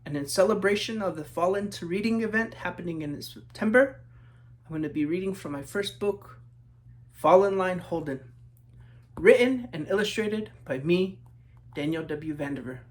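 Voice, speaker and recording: A man from New Mexico reading his children's book aloud in measured rhythm, as a storyteller talking to young listeners. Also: high voice. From New Mexico, United States.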